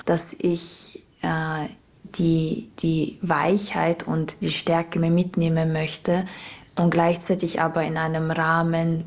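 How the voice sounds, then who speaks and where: A woman with a lowish, flat-toned voice talks off the cuff. She is earnest and reflective, feeling her way through each thought. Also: creaky. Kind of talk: reflective spontaneous talk.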